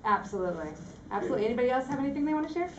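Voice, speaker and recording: A woman's voice: high-pitched, expressive, racing, echoey room, dull audio, noisy background. A woman drama camp teacher leading a talkback with her students, quizzing them and laughing often. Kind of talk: post-show talkback with students. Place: school hall with audience.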